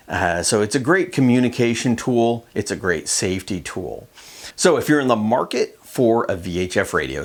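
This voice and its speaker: A middle-aged man with an American accent gives informal, conversational instruction, unscripted and with hesitant pauses. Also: fairly low pitch. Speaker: fishing show host and instructor.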